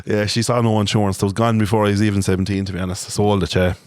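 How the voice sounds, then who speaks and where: A man's voice: lowish voice, flat delivery, very fast, darkish tone, dry recording, studio quality. A man in his thirties with a Munster Irish accent, a guest telling a laughing, rambling story and swearing freely. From Ireland, where Cork, Limerick and Tipperary meet. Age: 30s. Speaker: guest, farmer. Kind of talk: casual chat, storytelling.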